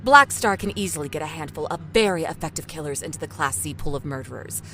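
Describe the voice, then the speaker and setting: A woman's voice: sits low, animated, fast talker, fairly bright tone, slight background noise. A woman acting in an audio drama as a vain, foul-mouthed, ruthless TV executive, theatrical and sarcastic. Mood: cynical, explanatory.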